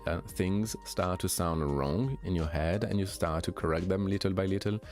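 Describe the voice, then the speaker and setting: Low-voiced french guy streaming, chill and halting, lots of ums, little music in the back. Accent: light French. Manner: relaxed, hesitant, many fillers and restarts. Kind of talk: casual chat answering viewers.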